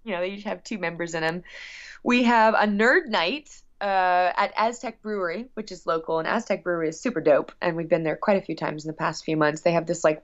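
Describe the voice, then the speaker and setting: Gushing, chatty woman on dull audio. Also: on the low side. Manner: gushing, excitable, rambling. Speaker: guest musician. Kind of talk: casual, chatty interview.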